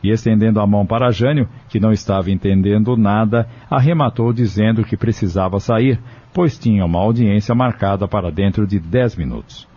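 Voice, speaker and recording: Mellow, husky, low-pitched male narrator. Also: fairly quick. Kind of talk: scripted audio drama narration. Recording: dull, lo-fi recording.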